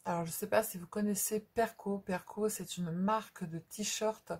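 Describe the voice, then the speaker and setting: A middle-aged woman talks in an unhurried, informal way. Her voice is somewhat rough and breathy, at an ordinary pitch for a woman. She hosts like a home craft vlogger, hesitating, circling back and repeating herself as she explains. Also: steady tone, darkish tone. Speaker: craft video host. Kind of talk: casual unscripted vlog chat.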